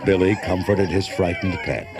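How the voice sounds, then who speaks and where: A man narrating a children's storybook in a dramatic, expressive way. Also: low voice, normal speed. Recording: cassette transfer with loud music behind. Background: loud music.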